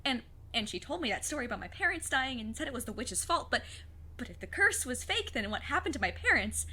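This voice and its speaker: Woman playing an earnest, husky-voiced teenage girl. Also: high-pitched, big pitch swings, quick pace, pushed and bright, full character voice. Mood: shaken, distressed. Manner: formal, precise wording. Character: plucky, earnest, precise fifteen-year-old girl, witch's apprentice. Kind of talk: scripted audio drama acting.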